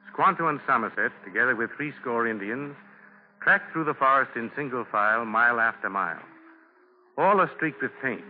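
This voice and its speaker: A man's voice: medium pitch, a little hiss or hum. Solemn male narrator, tinny old radio. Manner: solemn, storytelling, deliberate phrasing. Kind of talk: 1940s scripted radio narration. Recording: tinny 1940 radio recording with music.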